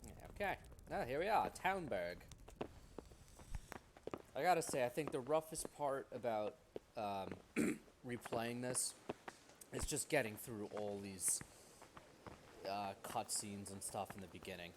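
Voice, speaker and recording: A man's voice: mid-range voice, unhurried, pauses often, background noise. A man giving casual, rambling, joking commentary as he plays a video game. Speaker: gamer, let's play host.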